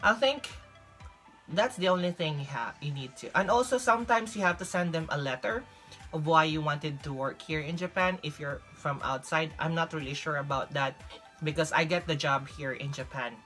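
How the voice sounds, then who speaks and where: A woman's voice: low-pitched, normal speed, a bit bright, faint music behind. A young woman from the Philippines with a Filipino accent, giving casual advice as a vlog host. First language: Visayan (Filipino). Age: young adult. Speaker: vlog host, English teacher.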